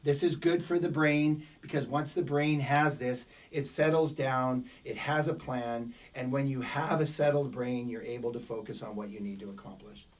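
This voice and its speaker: A man's voice: mid-range voice, moderate pace, hardly any pauses, distant mic. Man coaching, voice worn by a cold. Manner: repetitive, emphatic motivational delivery. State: fighting a cold, voice a bit worn. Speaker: business coach. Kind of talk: webinar teaching.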